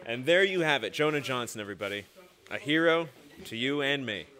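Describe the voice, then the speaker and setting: A man's voice: medium pitch, lively intonation, brisk, pushed and bright. A young man hosting a show in a casual, chatty, folksy way, ad-libbing into a stand mic. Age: young adult. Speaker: host. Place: small indoor studio. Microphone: stand microphone.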